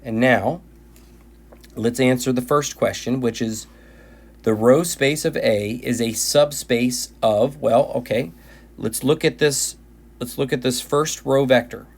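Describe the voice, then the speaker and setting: A man with a middle-pitched voice that has worn thin and hoarse from recording lecture after lecture. He walks through a math lesson patiently, often restarting and repeating his phrases. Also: slow pace, darkish tone. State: voice tired from recording many videos. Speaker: math teacher.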